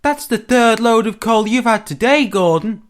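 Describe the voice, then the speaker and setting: A man's voice: high voice, animated, normal speed, pitched above the performer's own voice. A man voicing a scolding little male steam engine in a storybook, with a snippy, teasing manner.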